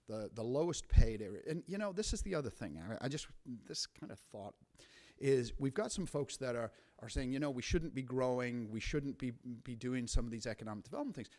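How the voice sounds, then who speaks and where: A city official, a man, answering a council member in a chatty, lively way with frequent restarts. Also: mid-range voice. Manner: conversational, animated, frequent restarts. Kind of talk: formal meeting remarks. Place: council chamber.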